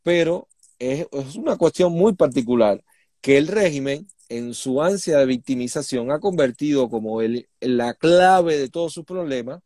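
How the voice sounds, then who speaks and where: A man's voice: mid-range voice, animated, normal speed, dark tone, no room sound, clean studio sound. A Cuban man speaking as an interview guest, explaining things in an emphatic, indignant conversational way. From Cuba. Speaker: interview guest, human rights activist.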